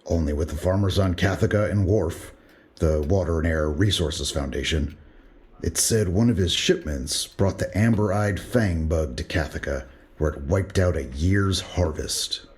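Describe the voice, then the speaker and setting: A man playing a starship lieutenant and fighter pilot, giving a measured, matter-of-fact briefing in an audio drama. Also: low-pitched, a little mellow.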